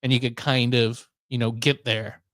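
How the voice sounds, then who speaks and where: A middle-aged man with an American accent talks casually as a podcast host. His pitch sits in an ordinary male range, and the recording is close and dry, with no room sound. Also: little pitch movement, moderate pace, fairly bright tone, dry recording. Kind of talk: casual chat.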